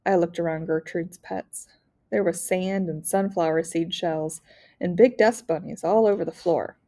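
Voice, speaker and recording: Woman teacher reading a kids novel out loud, relaxed mellow voice w some vocal fry. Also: fairly low pitch, unhurried. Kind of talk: children's novel read-aloud narration.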